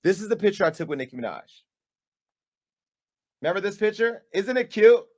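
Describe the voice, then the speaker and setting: A high-voiced man delivering a sassy callout. He swings between hushed, pointed asides and loud, punchy bursts, like a host laying out receipts for his audience. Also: big pitch swings, brisk. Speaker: media company owner and host. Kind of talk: on-camera callout monologue.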